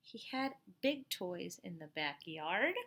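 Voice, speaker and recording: A middle-aged woman reading a picture book aloud like a teacher at storytime, expressive, with chatty asides and questions to young listeners. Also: lowish voice, slow.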